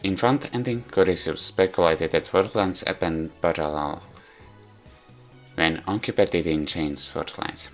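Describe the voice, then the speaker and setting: A man giving a rambling, halting spoken review of a visual novel in non-native English. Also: low voice. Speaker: video game reviewer. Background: background music.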